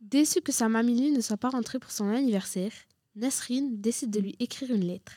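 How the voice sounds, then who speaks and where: A woman's voice: on the high side, moderate pace, warm tone, dry recording. A woman reading scripted narration for a radio drama.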